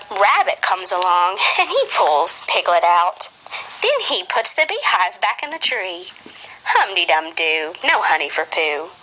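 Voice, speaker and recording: A woman narrating a children's storybook for a puppet show in an expressive, sing-song read-aloud manner. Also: medium pitch, slight background noise, low-quality recording.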